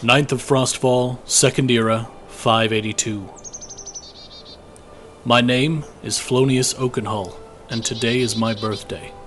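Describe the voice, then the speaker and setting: A weary young male soldier played by an actor narrates his war journal in a fantasy audio drama. His mid-pitched voice is measured, reflective and weighed down by guilt, with clear music underneath. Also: fairly even intonation, fairly bright tone, natural voice. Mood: solemn.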